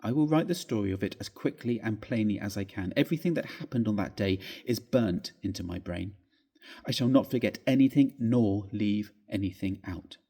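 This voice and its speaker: Mellow, husky man reading theatrically. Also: on the low side, moderate pace. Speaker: story reader. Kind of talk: dramatic literary reading of a classic ghost story. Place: inside a church, live reading.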